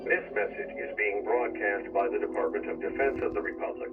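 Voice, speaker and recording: A man's voice: fairly low pitch, a little fast, natural voice. A man playing a solemn government defense announcer, reading a formal, official emergency broadcast. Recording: muffled lo-fi broadcast under loud music.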